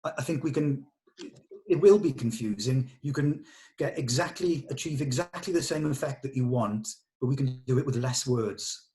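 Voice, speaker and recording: A man speaks as the director of a script table read. He gives notes diplomatically, explaining his reasoning in a measured way. His delivery is that of working conversation with actors and a writer. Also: medium pitch, mellow.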